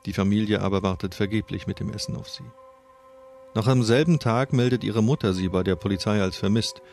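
Low, creaky male narrator. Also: darkish tone, dry recording, clean studio sound. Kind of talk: scripted true-crime narration. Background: music.

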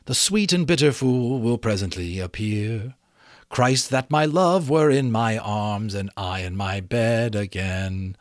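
A flighty, nervous little butterfly, high and sing-song, as a man puts him on. He stretches his words out theatrically. The sound is dry and close. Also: takes it slowly, dry recording.

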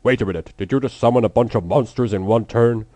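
A man's voice: mid-range voice, fast talker, mellow, no room sound, natural voice. A man voicing a solemn, cocky pharaoh hero with dramatic, emphatic delivery in a cartoon parody. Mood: incredulous. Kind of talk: anime parody dub.

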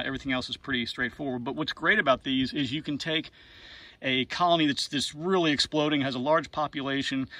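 A man in a casual outdoor how-to walkthrough, showing his hive gear in an apiary yard, relaxed and practical, with lots of "uh" and "right?" fillers. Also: fairly high pitch, dry recording.

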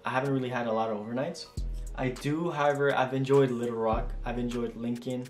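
A young man in his twenties talks casually and rambles, with fillers and restarts as he answers viewer questions. His voice sits at an ordinary mid pitch, and the small room around him rings with noticeable echo. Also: fairly even intonation, a little fast, faint music behind. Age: 20s. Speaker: flight attendant vlogger. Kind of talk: casual Q&A chat. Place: small home room indoors.

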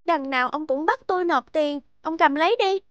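A woman voicing a kind, earnest young sister in an animated morality tale. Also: high-pitched, fairly quick, no room sound, lo-fi sound. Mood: gentle, caring.

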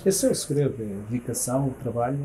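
A man's voice: mid-range voice, animated, fast talker, mellow, a little hiss or hum, poor audio. A man in his fifties with a European Portuguese accent, a restaurant manager answering interview questions at length, restarting phrases as he goes. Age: 50s. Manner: talks with his hands, many restarts. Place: restaurant dining room, indoors.

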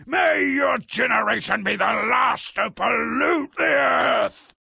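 An actor playing a huge, brutish man-eating giant, gravelly and raspy, in a cartoonish game. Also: extremely high pitch, lively intonation, takes it slowly, pushed and bright, no echo, raised voice, clean studio sound, full character voice. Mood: enraged. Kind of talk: cartoonish video game acting.

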